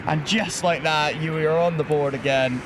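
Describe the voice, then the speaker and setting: A man giving lively, joking play-by-play commentary on a live football game outdoors. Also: fairly high pitch, normal speed, noisy background. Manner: lively, jokey play-by-play, laughs easily.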